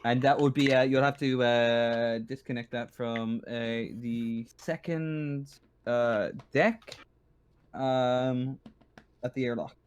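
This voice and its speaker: A man acting as game master in a casual tabletop session, talking in a halting, deliberate way as he rules on the game. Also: mid-range voice, fairly even intonation, clear, resonant tone, highs cut off.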